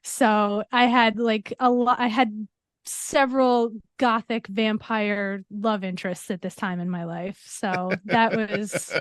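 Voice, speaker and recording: Woman in her forties, mid-pitched voice, frank and enthusiastic, casual swearing, dry close recording. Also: steady tone, slow pace, no room sound. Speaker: podcast guest. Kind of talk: casual film discussion.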